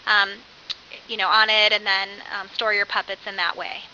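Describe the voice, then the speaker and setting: A woman teaching an early childhood course in a conversational, animated way, as an instructor explaining with examples. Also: mid-range voice, normal speed. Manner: animated, with acted-out example phrases. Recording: dull lo-fi audio with faint hiss.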